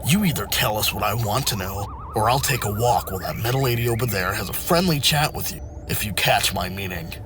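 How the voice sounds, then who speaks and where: A man playing a gruff, commanding starship captain in an audio drama. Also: on the low side, a little fast, few pauses, noisy background, lightly acted. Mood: threatening. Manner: commanding, menacing.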